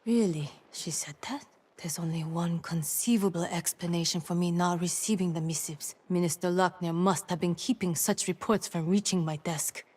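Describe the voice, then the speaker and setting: A woman playing a dutiful female town leader in a fantasy game, speaking in a measured, formal and earnest way. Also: fairly low pitch, darkish tone, slightly rough. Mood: thoughtful. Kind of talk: scripted fantasy game dialogue.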